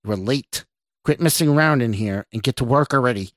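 A man's voice: mid-range voice, fast talker, dry recording, studio quality, natural voice. A man voicing an angry, bullying male boss who barks and growls impatiently in an audiobook-style story. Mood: irritated.

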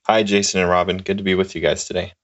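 A man in his thirties, a social worker, answering interview questions in a relaxed, halting way with many fillers. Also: deep voice, highs cut off. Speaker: guest, clinical social worker.

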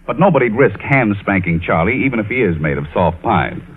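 A man's voice: lowish voice, animated, brisk, slightly rough, phone-quality sound. A man delivering a scripted introduction as an old-time radio announcer.

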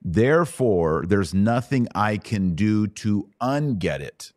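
A man's voice: fairly low pitch, lively intonation, slow, darkish tone, dry recording. A middle-aged American man hosting a conversational religious question session, explaining step by step and checking understanding with short questions. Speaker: host answering Bible questions.

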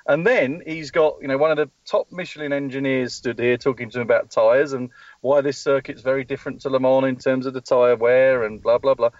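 A man calls in from a race track as a team spokesperson, rambling with plenty of ums and restarts. His voice sits in a middle pitch. The line is dull and lo-fi, with a dry sound. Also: fairly even intonation, a little fast, darkish tone, no room sound. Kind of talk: live call-in interview. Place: at a race track testing session.

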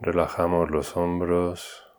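A man leading a guided meditation, giving calm, unhurried instructions with long pauses. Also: low voice, slight background noise.

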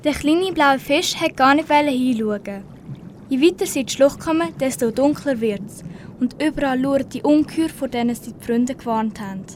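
A woman's voice: high voice, moderate pace, a little hiss or hum. A teenage girl reading scripted story narration for an amateur radio play.

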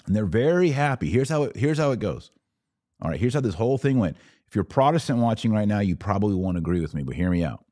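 Low-voiced man, emphatic podcast host. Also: very animated, fast talker, mellow, no room sound, studio quality. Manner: didactic, emphatic, rhetorical check-ins like "right?". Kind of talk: opinion commentary, monologue to live viewers.

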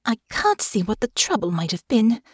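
Woman voicing fretful young noblewoman, high, plaintive, pitch swooping, close dry sound. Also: big pitch swings, normal speed, darkish tone, husky, dry recording. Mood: indignant. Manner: plaintive, rising, tearful pleading.

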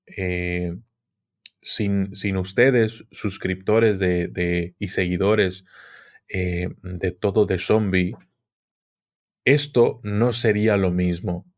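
A man's voice: deep voice, fairly even intonation, drawn-out words. A man, a guest on a podcast, easygoing and hesitant, talking with plenty of fillers. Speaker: guest, occult video creator. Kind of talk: casual podcast interview.

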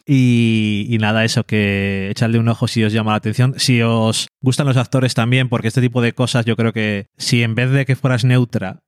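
Relaxed man with a Spain Spanish accent. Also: medium pitch, normal speed, hardly any pauses, smooth, no room sound, studio quality. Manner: relaxed, thinking aloud. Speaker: podcast co-host. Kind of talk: casual chat. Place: home.

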